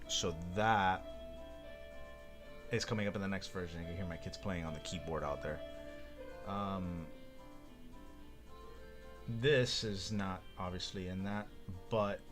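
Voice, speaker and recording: A man with a low voice talks informally over clearly audible music, as on a live stream. He thinks aloud while walking viewers through software he is building. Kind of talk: casual live coding stream talk.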